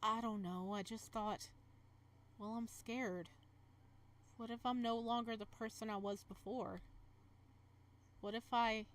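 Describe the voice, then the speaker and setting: A woman's voice: fairly high pitch, fairly even intonation, slow pace, pauses often. A woman voicing an anxious, remorseful young man in a visual novel, speaking with hesitant, pleading phrasing. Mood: scared, pleading.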